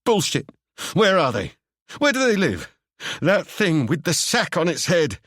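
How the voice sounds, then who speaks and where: A man voicing a frantic young man, shrill and demanding. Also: very animated, a little slow, a bit bright, dry recording, studio quality, exaggerated voice. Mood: furious. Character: panicked frantic young man, shouting questions. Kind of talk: audiobook character voice.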